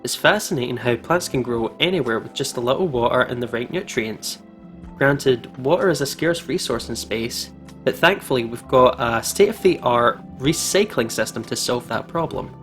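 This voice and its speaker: A man with a mid-range voice co-hosts a casual let's-play. He moves between informal banter and reading aloud, with music clearly audible behind him. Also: mid-range voice, animated, moderate pace, a bit bright. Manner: informal, reacts with exclamations and banter. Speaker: gaming channel co-host. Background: game music.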